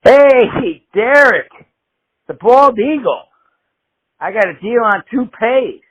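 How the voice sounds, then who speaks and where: A man's voice: extremely high pitch, lively intonation, slow, slightly rough. A man leaving a casual fan voicemail as a caller. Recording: dull lo-fi phone line.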